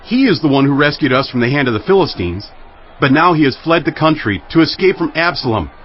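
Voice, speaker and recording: A man's voice: on the high side, animated, fairly quick, dull audio, natural voice. An actor playing an agitated Israelite townsman in a dramatized audio Bible, urgent and argumentative.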